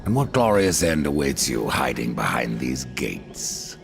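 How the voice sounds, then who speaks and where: A man playing a grim, sardonic horseman of death in a dark fantasy game, with a gravelly rasp and a terse, menacing delivery. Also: very deep voice, very animated, a little slow, faint music behind, heavily acted. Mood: cold, defiant.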